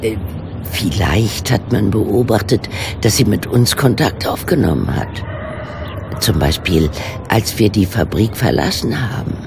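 An actor playing a patient, methodical amateur detective in a German audio drama, with measured, precise, earnest delivery. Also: male voice, lowish voice, animated, gravelly, background noise. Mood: earnest, reasoning.

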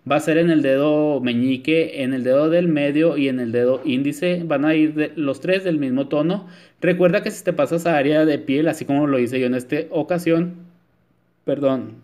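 Man, fairly high voice, chatty tutorial voice-over, lots of fillers. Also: darkish tone. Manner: chatty, many filler "este", addresses viewers as "chicas". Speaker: nail technician.

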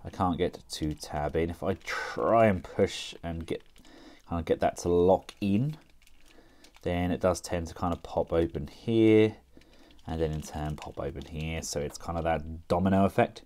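A man talking casually through a toy review, chatty and relaxed with frequent fillers as he works the figure with his hands. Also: deep voice, unhurried. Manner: relaxed, chatty, thinking aloud with many fillers.

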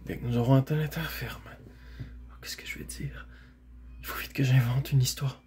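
A storybook reader voicing a rough young runaway boy with a blunt, excitable manner. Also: mid-range voice, quick pace, noisy background, lightly acted. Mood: anxious.

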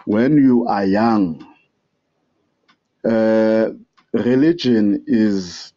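Slow halting South African man on voicemail. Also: fairly low pitch, warm tone. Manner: slow and halting, many "uh" pauses, earnest. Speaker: voicemail caller. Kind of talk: phone voicemail. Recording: muffled voicemail phone line.

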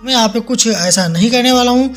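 A man's voice: very high voice, brisk. A man hosts a step-by-step app tutorial. He guides the listener with repeated instructional phrases. Faint music runs behind his narration. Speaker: tutorial host. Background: soft background music.

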